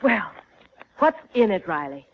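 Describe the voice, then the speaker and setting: Casting: coaxing, long-suffering American mother for old radio sitcom, unhurried delivery with hugely animated pitch. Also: a little hiss or hum. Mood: exasperated. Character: patient but exasperated wife and mother. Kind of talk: 1940s radio sitcom acting. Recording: dull vintage radio recording.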